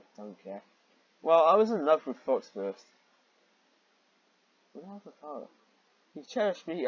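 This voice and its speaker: A man's voice: mid-range voice, dry recording, dull audio. A man reading amateur fiction aloud with sarcastic commentary and exasperated, incredulous asides.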